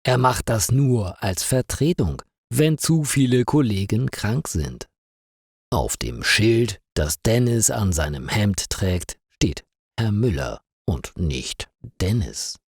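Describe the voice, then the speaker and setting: Slow, animated male storyteller, very dry. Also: on the low side, dry recording. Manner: careful, clearly paced for learners. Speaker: storyteller for German learners. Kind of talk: scripted audiobook narration.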